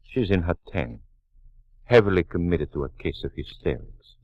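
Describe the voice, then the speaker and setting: A deep, sarcastic police lieutenant. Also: male voice, expressive, normal speed, dry recording. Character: gruff, sarcastic middle-aged police lieutenant. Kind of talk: 1940s radio drama acting. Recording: dull old radio transcription.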